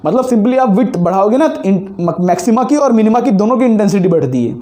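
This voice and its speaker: A man's voice: high-pitched, animated, a little fast, mellow. A man with a sore throat teaching physics, working through exam problems step by step and repeating the key points. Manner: explains step by step, repeats key points, mixes Hindi and English. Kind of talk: solving exam problems on a board.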